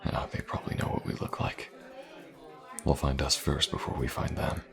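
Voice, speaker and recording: An actor playing a gruff, wary, self-assured mercenary swordsman in a fantasy audio roleplay, speaking in a dry, terse way. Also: a bass voice, monotone, normal speed, crowd noise. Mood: dry, confident.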